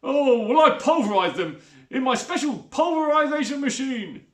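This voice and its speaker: A man's voice: very high voice, lively intonation, moderate pace, fairly bright tone, voice pushed up. Man doing a smarmy, oily rat catcher. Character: greasy fawning lonely rat catcher man. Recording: roomy echo.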